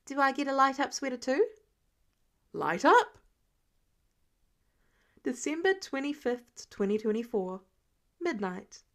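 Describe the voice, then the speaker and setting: Female podfic narrator: fairly high voice, expressive storytelling from a script.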